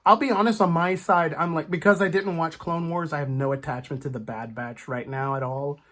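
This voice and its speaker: A man hosting a casual, animated chat about film and TV, acting out imagined reactions as he goes. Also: fairly high pitch, a little fast. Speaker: podcast host.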